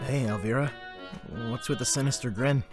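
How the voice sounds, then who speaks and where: A man's voice: medium pitch, normal speed, music in the background, close to an everyday voice. A man playing a dry, teasing young brother in an audio drama, sarcastic and weary.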